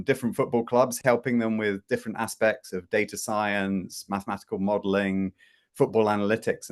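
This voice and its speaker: A middle-aged man presents over a video call from a room at home. His talk is loose and chatty, full of "um", "well" and restarts, at an average pitch for a man. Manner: chatty, with frequent fillers and restarts. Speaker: host presenting a product demo. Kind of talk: casual live presentation.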